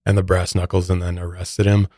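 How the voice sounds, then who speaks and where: A man's voice: low voice, monotone, a little fast, mellow, no echo, clean studio sound. A man with an American accent casually discussing true crime as a podcast co-host.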